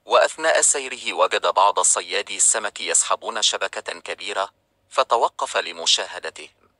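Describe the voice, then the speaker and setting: Man reading a storybook, bright and dry. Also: sits low, lively intonation, normal speed, no echo. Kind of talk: scripted storybook narration.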